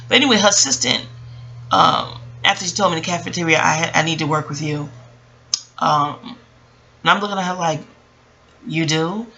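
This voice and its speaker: A woman in her early forties telling a personal story in a casual, reflective, rambling way, often digressing and acting out what people said. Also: lowish voice. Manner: rambling, digressive, animated retelling with acted-out quotes. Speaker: vlogger.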